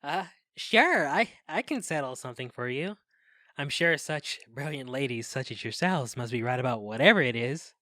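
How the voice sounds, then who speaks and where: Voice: mid-range voice, lively intonation, moderate pace, sharp tone, dry recording. Lovestruck young poet, closely recorded. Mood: hesitant, obliging. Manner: florid, ardent poetic declarations. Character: lovestruck, earnest young poetic romantic, flowery and gallant. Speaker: actor in an audio drama. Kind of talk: Shakespearean verse in modern audio drama.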